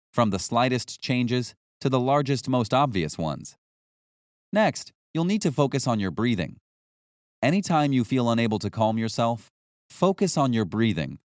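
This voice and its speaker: Man narrating with lively, varied intonation. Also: mid-range voice, dry recording, clean studio sound. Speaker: audiobook narrator. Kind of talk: scripted nonfiction audiobook narration.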